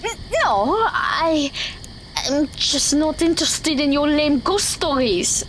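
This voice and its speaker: Very high-pitched young girl, squeamish, defensive, audio drama, faint background noise. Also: expressive, takes it slowly, fairly bright tone, full character voice, poor audio. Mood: flustered, whiny.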